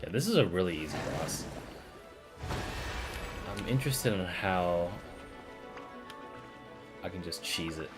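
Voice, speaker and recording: A man's voice: low-pitched, animated, unhurried, pauses often. A man giving casual live commentary while he plays a video game. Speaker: game streamer. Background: loud video game music and sounds behind the voice.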